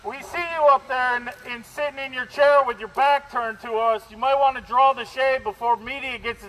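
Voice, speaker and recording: A man's voice: very high voice, steady tone, moderate pace, pushed and bright. A man giving an angry, defiant protest speech through a bullhorn, speaking outdoors. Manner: defiant, confrontational. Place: outdoors in rain at a street protest. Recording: noisy outdoor street recording, bullhorn.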